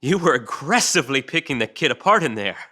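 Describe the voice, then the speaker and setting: Weary sardonic male cop, man acting; crisp, tense medium-pitched delivery, persuasive and sweary, radio drama. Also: big pitch swings, quick pace, bright, forceful tone. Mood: exasperated. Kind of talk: dramatic table read acting.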